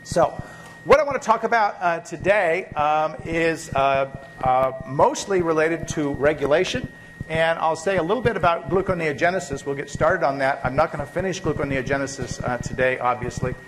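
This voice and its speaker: Man, professor, slightly high voice, coming down with a cold, loose lecture style, noisy lecture tape. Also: moderate pace. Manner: loose, rambling, many fillers and restarts. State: catching a cold, occasional coughs. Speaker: biochemistry professor. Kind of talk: university lecture. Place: lecture hall.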